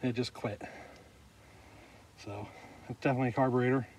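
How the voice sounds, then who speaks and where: A man in his forties chatting casually to camera, plain-spoken and halting as he thinks aloud. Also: mid-range voice, fairly even intonation, noisy background. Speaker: vlogger, hobbyist chainsaw mechanic.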